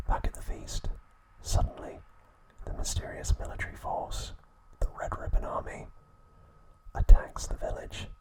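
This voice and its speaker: A man hosting ASMR, whispering in a breathy, unvoiced voice with gentle, deliberate phrasing. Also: rough recording. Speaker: ASMR channel host. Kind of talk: whispered ASMR narration and trivia.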